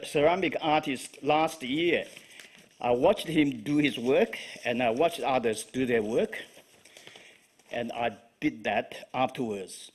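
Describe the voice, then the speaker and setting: A man with a Chinese accent, whose first language is Chinese, giving a live poetry reading in a halting way with frequent fillers. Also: a little high, slightly rough. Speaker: poet.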